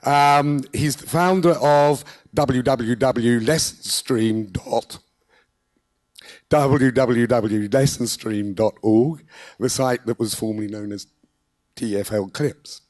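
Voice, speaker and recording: A man with a hoarse, failing voice giving a formal, halting introduction of a speaker at a conference. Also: mid-range voice, darkish tone. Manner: halting, with fillers. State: hoarse, losing his voice. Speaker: event host introducing a speaker. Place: conference hall.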